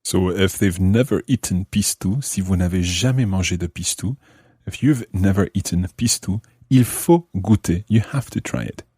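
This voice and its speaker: A man hosting a language lesson, explaining phrases in a measured way and repeating them for learners. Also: low-pitched, dark tone, breathy edge, no room sound, studio quality. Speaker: language teacher and podcast host. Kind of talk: lesson explanation, switching between English and French.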